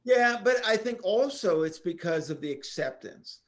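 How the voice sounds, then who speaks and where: A man's voice: sits high, animated, moderate pace. A man hosting a podcast interview, eager and enthusiastic as he welcomes his guest. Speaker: podcast host.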